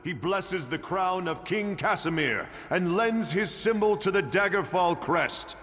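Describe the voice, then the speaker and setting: In long, ringing reverb, a solemn priest preaching in a video game. His male voice is notably high as he delivers his scripted sermon. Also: animated, a little slow, a bit bright.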